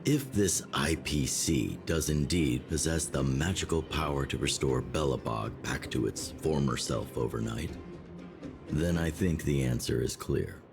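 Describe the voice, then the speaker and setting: An actor playing a weary, pragmatic old man in a video game, speaking in a measured, grave way. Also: a bass voice, creaky, exaggerated voice. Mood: weary, frank. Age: older. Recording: game audio with music behind.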